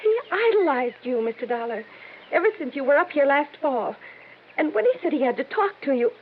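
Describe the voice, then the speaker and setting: A woman's voice: high-pitched, sing-song, fairly quick. An actress playing an anxious small-town mother worried about her missing son, in old radio drama style. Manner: anxious, pleading. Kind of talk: 1950s radio drama acting. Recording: noisy phone-like old radio broadcast.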